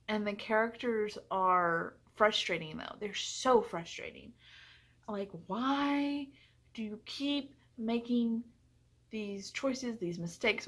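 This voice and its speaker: Woman, medium pitch, casual book vlogger, chatty, effusive, emphatic repetition. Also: a bit bright. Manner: effusive, emphatic, often repeats phrases for emphasis. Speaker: book reviewer.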